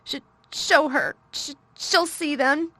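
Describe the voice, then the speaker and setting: A woman's voice: high voice, slow, pushed and bright, no echo, voice pushed up, exaggerated voice. A woman voicing a sickly, hysterical boy who gasps and sobs as he speaks. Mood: sobbing. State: sobbing, out of breath.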